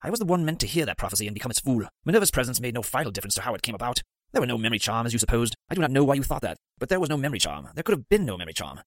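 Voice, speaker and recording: A man's voice: medium pitch, very animated, dry recording, studio quality, close to an everyday voice. A man voicing a guilt-ridden male potions master who speaks formally and in pain. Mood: grave. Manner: formal, measured, pained.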